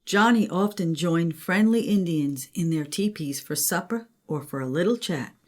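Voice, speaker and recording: A woman's voice: lowish voice, animated, moderate pace. An older woman, a grandmother, narrating a children's story.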